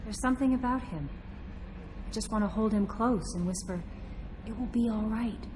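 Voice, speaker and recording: An actress playing a caring, flirtatious female crew member in a video game, soft and intimate. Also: on the high side, normal speed, a little hiss or hum, natural voice. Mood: tender, flirty. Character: solicitous, flirtatious female crew counselor aboard a spaceship.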